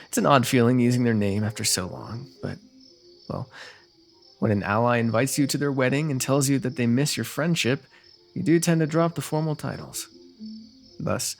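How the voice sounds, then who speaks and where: A man performs a confident male fantasy noble, a former rival who has become an ally. His mid-pitched voice has a gravelly creak, and he speaks formally and wordily, always playfully goading, in the manner of an ASMR roleplay. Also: lightly acted.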